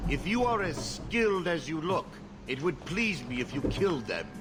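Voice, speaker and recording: An actor playing a stern Qunari outcast mercenary in a fantasy video game, speaking in terse, formal, measured phrases. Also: male voice, fairly high pitch, very animated. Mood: guarded, stern. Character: stern horned Qunari outcast, a self-scorning male mercenary. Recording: game music behind the voice.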